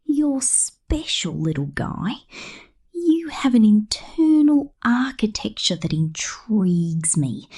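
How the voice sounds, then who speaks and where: A woman's voice: fairly low pitch, dark tone, husky, heavily acted. A woman voicing a possessive, sinister robot mechanic in an ASMR roleplay, teasing and cooing intimately, partly in whispers.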